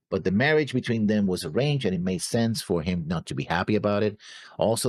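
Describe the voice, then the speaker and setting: A man co-hosting a reaction show, chatting and reading comments casually, hesitant and halting, often repeating his words. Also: low voice, a little mellow, dry recording, clean studio sound. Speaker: co-host of anime reaction show.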